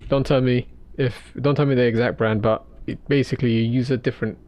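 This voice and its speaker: A man hosting a livestream, chatting casually with his viewers while he draws, in a halting way full of restarts. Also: medium pitch, steady tone, a little mellow. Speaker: livestream host.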